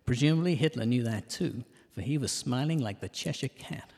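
A man playing a cheeky, self-mocking detective who narrates a comic audio drama in rhyme, landing puns deadpan. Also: mid-range voice, brisk, gravelly, breathy edge. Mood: wry, cheeky. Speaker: narrator and lead character. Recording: reverberant hall sound.

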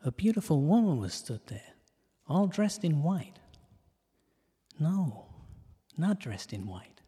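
A man narrating scripted comic rhyming verse in a measured, deliberate storytelling delivery. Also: fairly high pitch, big pitch swings, mellow, breathy edge. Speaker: narrator of a comic detective podcast. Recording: long reverberant hall echo.

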